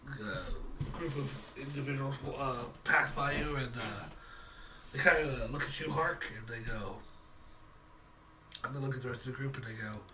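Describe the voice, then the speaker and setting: A man narrating a tabletop game as game master, halting and repeating phrases as he improvises. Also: medium pitch, heavy background noise.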